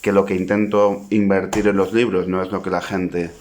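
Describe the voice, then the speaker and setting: A man's voice: deep voice, level tone, moderate pace, darkish tone, slight background noise. A man in his forties with a Spanish accent, an author talking to camera about his book in a reflective, modest way, thinking aloud as he goes. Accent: Spanish (Spain). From Spain (Zaragoza). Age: 40s. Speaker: poet and author.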